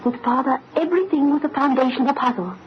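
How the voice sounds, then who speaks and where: An actress playing an anxious young fiancée in grief, in the theatrical, earnest style of an old-time radio mystery. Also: female voice, high voice, fast, natural voice. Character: anxious, grieving young fiancée of a haunted man. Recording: muffled, hissy old radio recording.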